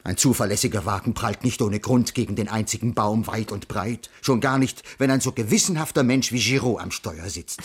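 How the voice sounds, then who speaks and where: An actor playing a pedantic, earnest middle-aged ethics teacher with formal, stilted diction in a radio crime play. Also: male voice, fairly low pitch, a little fast, hardly any pauses, slightly rough. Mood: indignant. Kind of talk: 1980s German radio crime play acting.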